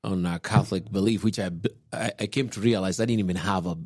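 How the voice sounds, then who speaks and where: A man, calmly telling his personal faith story on a podcast, unhurried and reflective. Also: low voice, no echo, studio quality. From Kenya. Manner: unhurried, reflective, with soft chuckles. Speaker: podcast guest husband, pastor.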